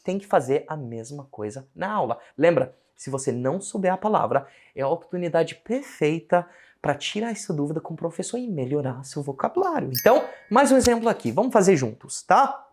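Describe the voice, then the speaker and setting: An Australian man who speaks Portuguese with an English-speaker's accent, giving a casual online English lesson and slowing down for his English examples. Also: fairly high pitch. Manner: animated, switches between Portuguese and slow English examples. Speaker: English teacher.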